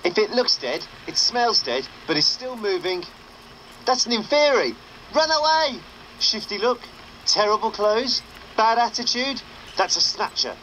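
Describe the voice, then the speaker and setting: A wry young wizard on underground radio in a game. His voice is high and bright, punchy and sarcastic, over faint noise. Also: lively intonation, measured pace, heavily acted, rough recording. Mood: brisk warning.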